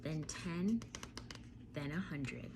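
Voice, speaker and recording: A woman reading a picture book aloud to young children, telling it expressively with deliberate phrasing and dramatic pauses. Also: low voice, expressive, noisy background.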